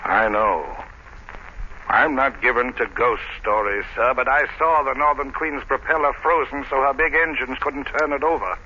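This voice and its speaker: A man's voice: mid-range voice, normal speed, bright, forceful tone. An actor playing a grim, haunted seaman telling an ominous tale in dramatic 1930s radio style. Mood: grave, certain. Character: grim, haunted seaman recounting a ghost legend. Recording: dull, noisy 1930s radio recording.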